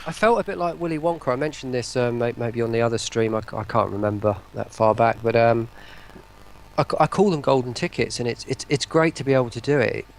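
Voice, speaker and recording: A man speaking as an interview guest on an online call, explaining things casually in a rambling, halting way. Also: mid-range voice. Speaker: interview guest, voice pack developer. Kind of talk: casual chat, explaining a product.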